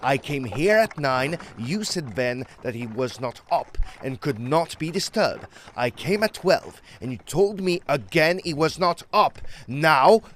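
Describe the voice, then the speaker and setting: An actor playing a learned, secretive explorer of Egypt in an audio drama, formal and emphatic in manner. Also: male voice, a little high, animated, a little slow, few pauses, a bit bright, slight background noise. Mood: impatient, urgent.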